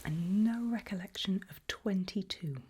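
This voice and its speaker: Whispering, slow-paced ASMR woman. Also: medium pitch. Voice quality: breathy, mostly unvoiced whisper. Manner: gentle, reassuring, unhurried, halting short phrases. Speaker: ASMR performer role-playing a doctor giving a memory test. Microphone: close ASMR microphone.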